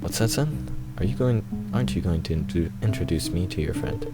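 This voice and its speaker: A man's voice: low voice, animated, brisk, loud music behind the voice. A man voicing a jovial, teasing father bear who dotes on his son, reading from a visual novel. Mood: doting, relieved. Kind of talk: visual novel read aloud.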